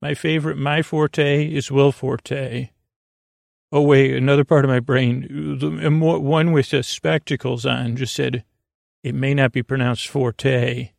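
Male bedtime-podcast host: creaky fry, dry sound, low-key meandering delivery with unfinished thoughts. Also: flat delivery, darkish tone, dry recording. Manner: meandering, halting, trailing off mid-thought. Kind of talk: rambling, casual bedtime monologue.

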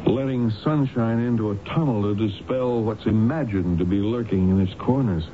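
On a muffled, noisy vintage radio broadcast, a man plays a concerned medical associate. His voice sits fairly low, and he talks in an articulate, probing manner fit for a serious radio play. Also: normal speed, lightly acted. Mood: reflective. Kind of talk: radio drama acting.

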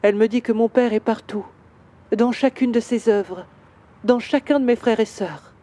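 A woman voicing a grieving male bronze statue in a children's audio story, slow and wistful. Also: a little high, mellow, pitched above the performer's own voice, natural voice. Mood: sorrowful.

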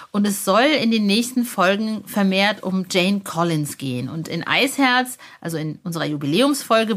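A woman's voice: medium pitch, a bit bright. A woman hosting a podcast in a chatty, informal and enthusiastic way, speaking directly to listeners. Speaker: podcast host.

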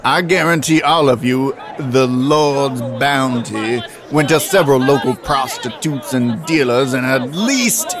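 An actor playing a blunt, shameless church deacon who talks in an accusing manner in a comedic audio drama. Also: male voice, mid-range voice, unhurried, a crowd in the background, close to an everyday voice.

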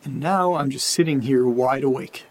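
A man acting as an uneasy, curious character alone at night in a creepy house, in a halting audio drama monologue, muttering to himself with a husky voice. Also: medium pitch, natural voice. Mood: troubled, puzzled.

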